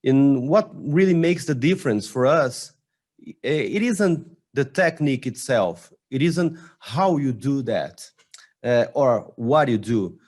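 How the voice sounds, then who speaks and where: A man whose first language is Portuguese, speaking with a Brazilian accent, gives an online conference presentation in a halting way, with many restarts and fillers. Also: mid-range voice, lively intonation, darkish tone.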